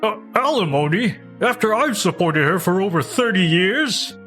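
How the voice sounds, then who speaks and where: A man's voice: high voice, lively intonation, normal speed, a bit bright, faint music behind. A man playing a controlling, scornful older husband in a manga dub, blustering as he speaks. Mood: shocked, indignant. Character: controlling, arrogant older husband, a retired teacher. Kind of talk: acted manga dub dialogue.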